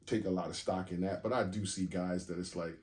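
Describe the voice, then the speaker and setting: A man in his forties with a fairly low voice co-hosts a podcast in relaxed, candid chat. He swears freely and laughs easily. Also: brisk, slight background noise. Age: 40s. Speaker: podcast co-host. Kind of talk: casual chat.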